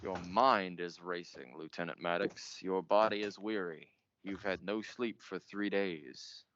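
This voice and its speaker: An actor playing a pompous, melodramatic 1940s radio mystery host, theatrical and portentous in manner. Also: male voice, low voice, a little slow, a bit bright. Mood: brooding. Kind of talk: old-time radio mystery narration. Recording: muffled, noisy amateur stage recording.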